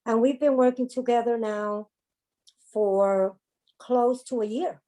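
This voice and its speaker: A woman's voice: a little high, mellow. Earnest Bronx woman with a Spanish-tinged accent. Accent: Spanish-influenced New York. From South Bronx, New York. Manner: earnest, halting, with frequent ums and repeats. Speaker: domestic violence advocate, guest. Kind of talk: interview conversation.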